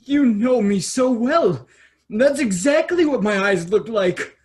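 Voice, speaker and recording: A man's voice: extremely high pitch, animated, takes it slowly, full character voice. A man playing a vain, boastful young fairy-tale prince in a staged reading, pompous and self-admiring. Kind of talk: staged reading of children's theater.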